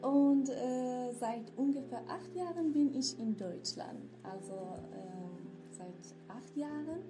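A young woman from northwestern Iran speaks slowly and a bit high, pausing often to find her words. Her answers come out hesitant and simple. Music plays clearly in the background. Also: rough recording. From northwestern Iran, Kurdistan. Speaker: guest, social work student. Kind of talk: casual interview.

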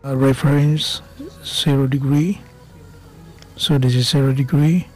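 A man giving step-by-step instructional narration in short, halting phrases. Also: medium pitch, fairly even intonation, darkish tone, poor audio. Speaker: machining instructor. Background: background music.

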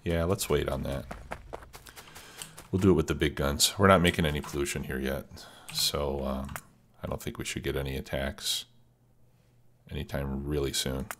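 A man's voice: extremely low pitch, level tone, normal speed, a bit bright, a little hiss or hum. A man with a stuffed-up cold, casually commenting on his gameplay as a let's play host, thinking aloud with many fillers. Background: background music and keyboard typing.

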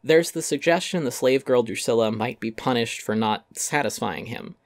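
A man's voice: mid-range voice, moderate pace, no echo, studio quality. A man giving a dramatic reading of a critical essay, wry and articulate with theatrical emphasis. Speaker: essay narrator.

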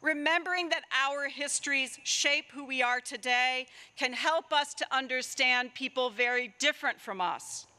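A middle-aged woman delivers a formal keynote from an outdoor lectern microphone. Her voice sits high and bright, and she reads in a measured, deliberate way with rhetorical pauses. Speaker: keynote speaker, political scientist. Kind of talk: formal prepared speech read at a lectern. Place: outdoors at a stone university building, ceremony.

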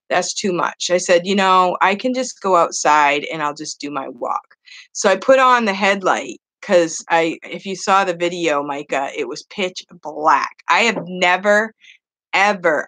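A woman's voice: on the low side, a bit bright. A woman in her fifties from New Hampshire chatting casually to viewers in a home webcam vlog, rambling with lots of fillers and frequent laughs. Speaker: online English teacher and vlogger. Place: small home room with wood-paneled walls. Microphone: webcam at desk.

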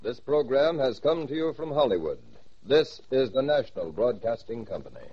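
A man's voice: mid-range voice, big pitch swings, moderate pace. A man working as an announcer, reading scripted lines in the style of 1940s radio. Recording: noisy, muffled old radio recording. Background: loud noise.

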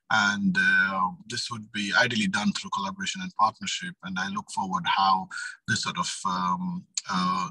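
A man in his thirties speaks as a panelist on a video call. His voice is fairly low and rough-edged, and he feels his way through explanations with repeated words. The sound is dry and close. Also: bright, forceful tone, dry recording, rough recording. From Middle East. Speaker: youth organization leader, panel speaker. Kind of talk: panel talk, semi-spontaneous explanation. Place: indoor room on a video call.

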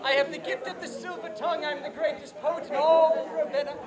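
A woman's voice: high voice, fairly even intonation, brisk, natural voice. A woman playing a lovesick young male poet, declaiming in florid, overblown phrases. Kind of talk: outdoor commedia dell'arte street show. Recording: crowd noise outdoors.

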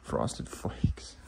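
A man exploring a house as a vlogger, thinking aloud in short, casual, murmured reactions on a noisy handheld recording. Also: dark tone. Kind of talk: casual off-the-cuff exploration commentary. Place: indoors, inside rooms of a house.

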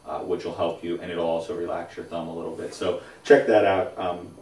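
A man with a very low voice gives relaxed, rambling advice as a show co-host. He is recorded on a lapel mic in a small studio, and he sounds a little distant in a roomy, echoing space. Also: fairly quick. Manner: relaxed, explanatory, stacks up phrases with fillers. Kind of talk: casual expert advice chat. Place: indoors, small studio against a plain backdrop. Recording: roomy echo, voice a bit far from mic.

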